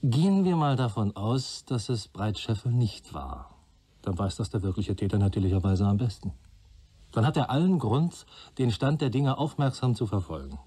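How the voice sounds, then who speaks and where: Radio play detective's assistant: mellow, very varied intonation, methodical, lays out logic step by step. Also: male voice, on the low side, sing-song, normal speed, slightly rough. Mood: analytical. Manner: reasoning step by step. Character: analytical male police assistant, methodical reasoner.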